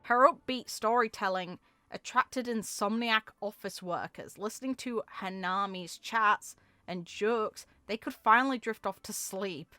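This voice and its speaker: A woman reading game story text aloud in an expressive way, with casual, informal asides of her own. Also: medium pitch, unhurried, no room sound. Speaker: video game content creator.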